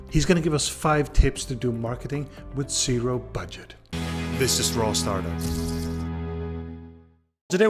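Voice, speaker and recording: A man hosting a startup interview show, introducing the topic and asking questions in an upbeat, presenter-like way. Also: mid-range voice. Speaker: show host. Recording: faint music underneath.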